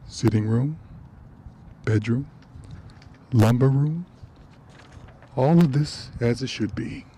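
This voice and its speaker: A man playing the storyteller of a radio play, narrating an old tale in a measured way. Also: medium pitch, warm tone, slight background noise, close to an everyday voice.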